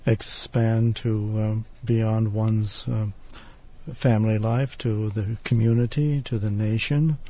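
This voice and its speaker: A man speaking as a guest on a discussion panel, halting often and filling pauses with "um". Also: on the low side, fairly even intonation, mellow. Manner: halting, many ums. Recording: dull lo-fi broadcast recording.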